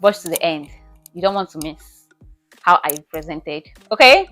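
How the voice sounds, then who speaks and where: Lively woman hosting, over music. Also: on the low side, moderate pace, no room sound. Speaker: vlog host. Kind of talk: casual channel introduction.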